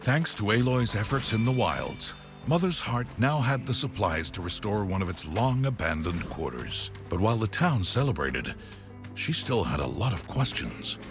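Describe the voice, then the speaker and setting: An actor playing a wry, playfully dramatic storybook narrator in an animated game, telling the tale in scripted narration. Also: male voice, fairly low pitch, normal speed, music in the background. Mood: wry, storytelling.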